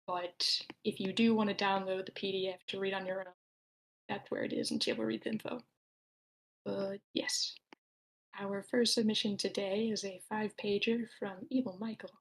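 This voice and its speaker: A woman's voice: mid-range voice, poor audio. A woman casually hosting an online table read, giving announcements in an informal, chatty way. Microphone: own microphone in an online voice meeting.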